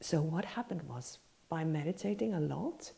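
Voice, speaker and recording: A woman hosts a meditation podcast with a stuffed-up nose from a cold. Her voice sits low and sounds soft and mellow. She rambles gently, full of fillers and restarts. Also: expressive, normal speed, creaky. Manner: rambling, many fillers and self-corrections. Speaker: podcast host, meditation teacher. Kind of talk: casual spoken teaching.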